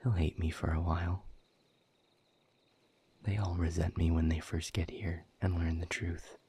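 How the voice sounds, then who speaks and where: A man playing a weary, bitter demon captive in an audio roleplay, speaking in a husky, breathy voice with measured, sardonic formality. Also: low voice, little pitch movement, warm tone, slight background noise. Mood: weary, resolute. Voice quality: husky, breathy, often dropping toward a whisper. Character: weary, bitter, guarded male demon captive, quietly defiant. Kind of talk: scripted audio roleplay monologue.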